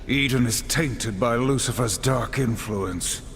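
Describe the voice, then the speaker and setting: A man voicing a grim, disciplined horseman of the apocalypse in a video game, solemn and measured. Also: medium pitch, a bit bright, slight background noise.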